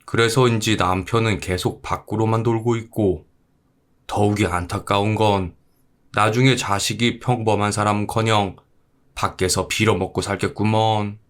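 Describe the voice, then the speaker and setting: Man playing a low-voiced, solemn sage monk. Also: fairly even intonation, a bit bright, natural voice. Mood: grave advising. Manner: measured, sage-like, old-fashioned speech. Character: solemn, knowing Buddhist monk giving grave advice.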